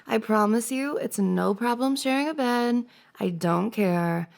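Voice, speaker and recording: A woman's voice: a little high, a little slow, gravelly. A woman playing a playful, teasing young woman, a best friend's older sister, in a giggly, casual ASMR roleplay. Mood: playful reassuring. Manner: giggly, teasing banter with frequent soft laughs.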